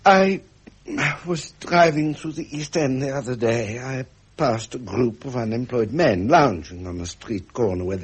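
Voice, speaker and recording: An urbane middle-aged prince in a vintage radio drama. His upper-class British voice is very husky and muffled, and moves expressively up and down with wry feeling. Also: mid-range voice. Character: frustrated, genial middle-aged prince and uncle. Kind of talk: period radio drama acting.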